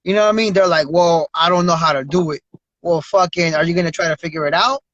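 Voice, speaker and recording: Male host, high-pitched, casual slang and encouragement, recorded dry and slightly muffled. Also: fast, fairly bright tone, no echo. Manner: slangy, encouraging, often says "bro" and "you know what I mean". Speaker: podcast host. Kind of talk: casual conversational interview. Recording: dry, dull lo-fi sound.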